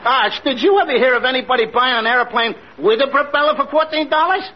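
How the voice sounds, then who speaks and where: An actor playing a slick, self-important wheeler-dealer in old-time radio comedy, smooth-talking and conspiratorial. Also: male voice, very high-pitched, fast talker. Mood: smoothly evasive. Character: slick, bluffing male wheeler-dealer and swindler. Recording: muffled noisy vintage radio recording.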